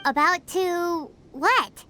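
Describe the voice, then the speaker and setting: A woman's voice: very high voice, drawn-out words, a loud crowd, full character voice. A woman voicing a tiny, childlike, cheeky fairy companion in an anime video game, perky and exclamatory. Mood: perky, bemused.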